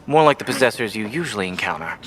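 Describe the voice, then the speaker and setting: A man playing a calm paranormal investigator in a video game, briefing in a measured, analytical way. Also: on the low side, noisy background. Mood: matter-of-fact. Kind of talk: video game cutscene acting.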